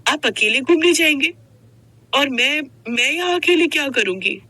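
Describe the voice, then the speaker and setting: A woman's voice: high-pitched, lively intonation, moderate pace, sharp tone, no room sound, voice pushed up. A woman voicing a young newlywed wife in a story, hurt and polite but turning defiant, speaking with dramatic expression. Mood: surprised, anxious.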